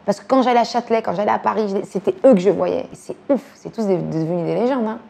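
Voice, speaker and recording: A woman from Belgium in her thirties, talking casually and quickly about music and dance, using slang and repeating words. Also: medium pitch, creaky, dark tone. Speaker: actress and director talking about her influences. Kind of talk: casual chat.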